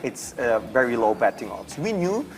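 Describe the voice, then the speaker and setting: A man from Switzerland with a Swiss accent tells his story in a medium-pitched voice. He is an athlete guest in a casual studio interview, with faint background noise. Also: sing-song, moderate pace, low-quality recording.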